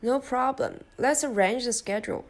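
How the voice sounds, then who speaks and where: A gentle, reassuring young boyfriend, acted by a woman with a Chinese accent in a student stage play. The voice sits fairly high for the part, and the lines come evenly and earnestly. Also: normal speed, a little hiss or hum, close to an everyday voice. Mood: caring. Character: supportive young Australian male boyfriend.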